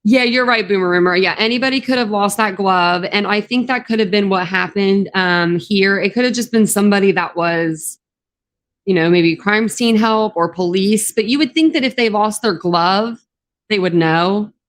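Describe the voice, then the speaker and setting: Southern woman rambling on a live stream, bit scratchy in the morning, lots of ums, mid pitch. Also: normal speed. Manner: chatty, rambling, many ums. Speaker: true crime YouTuber. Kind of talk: casual livestream chat.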